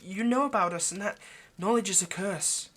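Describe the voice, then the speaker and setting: A man voicing an ageless vampire lover in an intimate audio roleplay, possessive yet remorseful, speaking in a halting, earnest, pleading way. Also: high-pitched, a bit bright. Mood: anxious, earnest. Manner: halting, earnest, pleading, dropping to near-whisper.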